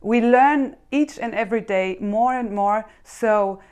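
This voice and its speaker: A woman's voice: on the high side, a little slow, darkish tone, clear, resonant tone. A woman from Germany, giving an emphatic, encouraging testimonial.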